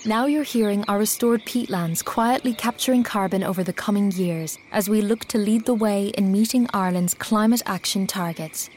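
Female narrator, mid pitch, measured corporate ad, faint ambient sound behind. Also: darkish tone, a little hiss or hum.

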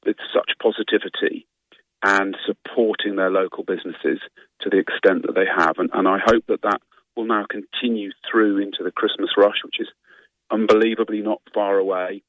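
A man speaking for a trade guide as a radio guest, earnest and measured, with stumbling starts. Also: lowish voice, steady tone, no room sound, narrow, tinny audio. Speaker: industry guide representative, guest. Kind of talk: radio interview.

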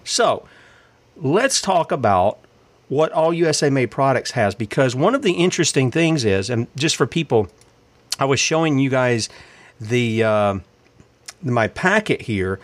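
A man's voice: medium pitch, no room sound. A man hosting a casual interview, asking conversational leading questions. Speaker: podcast host.

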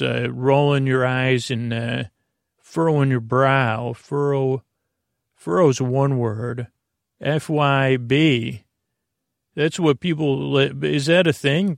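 Male sleep podcaster, gravelly fry, dry close sound, halting and goofy improvised rambling. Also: mid-range voice, dry recording. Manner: meandering, halting, trailing off and repeating words; silly, goofy tangents. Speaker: podcast host.

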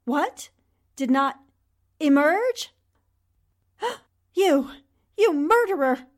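A woman's voice: high-pitched, expressive, extremely slow, full character voice. A woman playing a bold, indignant newspaperwoman in an emphatic, dramatic 1940s radio drama style. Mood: outraged.

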